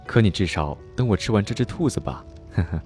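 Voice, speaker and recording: A man's voice: on the low side, a little fast, lightly acted. A man playing a playful young swordsman hero. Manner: teasing, lively, expressive. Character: young male martial-arts hero, cheerful and persistent. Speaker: radio drama actor. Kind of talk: amateur wuxia radio drama acting. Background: music score underneath.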